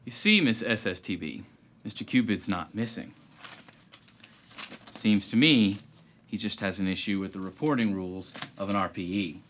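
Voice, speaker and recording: An actor playing a dry, clipped private investigator in a film-noir style skit, with a gravelly drawl. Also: male voice, fairly low pitch, normal speed, pauses often, a bit bright, a little hiss or hum, close to an everyday voice. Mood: hard-boiled. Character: hard-boiled male private eye, clipped and dry. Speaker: detective lead in a tax explainer skit.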